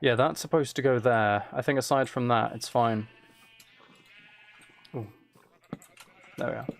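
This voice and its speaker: A man with noticeable fry in his mid-pitched voice talks casually through a game. He thinks aloud in loose fragments, correcting himself and chatting to viewers as he goes. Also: measured pace, a little hiss or hum. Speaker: streamer playing a building game.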